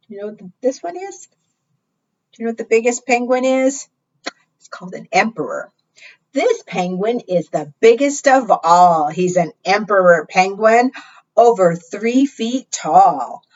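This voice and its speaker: A woman's voice: mid-range voice, dull audio. A woman hosting preschool storytime, reading and teaching in a sing-song way, often putting questions to small children. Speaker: library storytime host.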